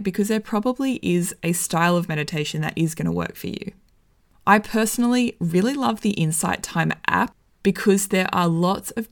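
Slightly croaky woman giving wellness tips. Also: on the low side. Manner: friendly, enthusiastic, conversational. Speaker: wellness vlogger. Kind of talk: casual advice to camera.

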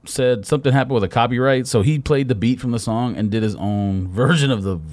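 A man's voice: mid-range voice, brisk, darkish tone. A man co-hosting a podcast in casual chat, informal and peppering his talk with filler words. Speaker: podcast co-host.

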